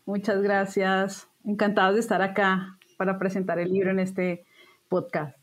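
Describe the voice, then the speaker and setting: A woman from Colombia, an academic guest speaking in an interview with a hesitant, pausing delivery. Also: medium pitch, monotone. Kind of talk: interview self-introduction.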